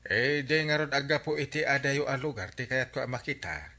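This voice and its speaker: A man's voice: medium pitch, a little fast, pushed and bright, close to an everyday voice. A man with an Ilocano accent playing an eager, evasive husband in a radio comedy drama. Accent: Ilocano Filipino.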